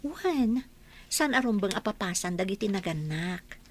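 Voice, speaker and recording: A woman's voice: medium pitch, lively intonation, a little slow. A woman with an Ilocano accent playing a sweet, forgiving young wife in a radio drama, speaking earnestly. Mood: gentle, earnest.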